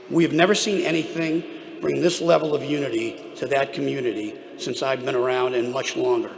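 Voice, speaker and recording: A man giving prepared remarks as a trade association leader at a public event, with an emphatic, deliberate delivery. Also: mid-range voice. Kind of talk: prepared podium speech. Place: large echoing hall at a public event. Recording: echoey hall, clear background noise.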